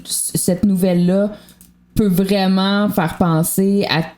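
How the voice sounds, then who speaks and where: A woman's voice: medium pitch, steady tone, takes it slowly, darkish tone. A woman with a colloquial Quebec French accent, thinking aloud in a casual podcast discussion as a guest. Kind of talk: casual after-show discussion.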